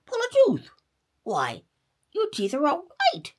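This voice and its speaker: A woman voicing a male possum doctor in a storybook, brisk, questioning and emphatic. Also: high voice, huge pitch swings, darkish tone, pitched above the performer's own voice, exaggerated voice. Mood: puzzled.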